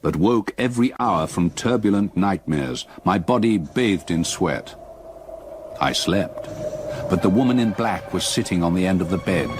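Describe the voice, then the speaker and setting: An actor playing a haunted man who narrates his past ordeal in a grave, measured, literary manner for a gothic radio drama. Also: low-pitched, animated, a little hiss or hum.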